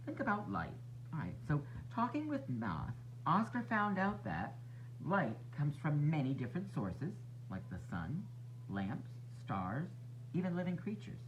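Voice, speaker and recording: Very animated man reading to young children. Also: a little high, measured pace, muffled recording, a little hiss or hum. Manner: expressive, instructive, talking to young children. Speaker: storybook reader, teacher. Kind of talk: children's read-aloud narration.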